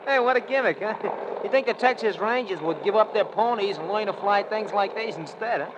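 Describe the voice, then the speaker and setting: A man's voice: high-pitched, fairly quick, natural voice. An actor playing an eager, naive, good-natured male sidekick in slangy 1940s radio style. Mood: eager wonder. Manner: eager, gee-whiz, slangy. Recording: muffled old radio, engine noise.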